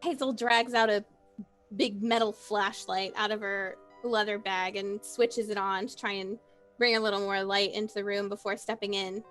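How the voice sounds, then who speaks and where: A woman playing a tabletop roleplaying game, speaking in character with measured, formal phrasing. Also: mid-range voice, steady tone. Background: soft background music.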